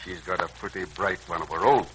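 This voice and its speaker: An actor playing a genial, folksy, teasing older uncle in a 1940s radio serial. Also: male voice, low voice, fairly quick, a bit bright. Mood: jovial. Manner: jovial, folksy. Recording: old radio recording, faint noise.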